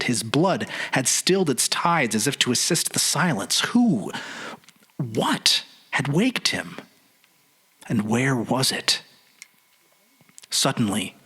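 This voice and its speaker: A man giving a suspenseful dramatic reading of a horror story, with deliberate phrasing and pauses. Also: mid-range voice, bright, forceful tone, breathy edge. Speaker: podcast narrator.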